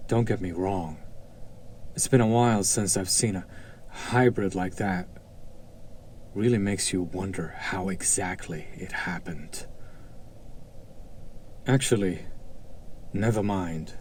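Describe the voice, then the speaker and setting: A man's voice: lowish voice, a little mellow, slight background noise. A man playing a haughty, sardonic demon buyer in an audio drama, drawling with condescending, theatrical skepticism. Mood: wry disdain. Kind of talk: scripted roleplay audio drama acting.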